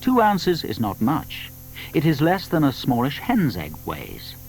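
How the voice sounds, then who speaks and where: Animated male storyteller. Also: mid-range voice, faint music behind. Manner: storytelling, with deliberate emphasis. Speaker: narrator. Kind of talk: scripted children's story narration.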